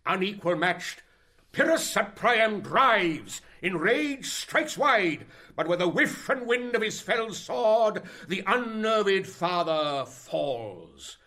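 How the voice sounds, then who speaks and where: Man acting a high-pitched, declaiming tragic player. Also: sing-song, bright, forceful tone, full character voice. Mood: grave narration. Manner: declamatory, measured, dramatic. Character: travelling stage actor declaiming a tragic war speech, solemn and fervent. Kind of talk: theatrical Shakespearean verse recitation.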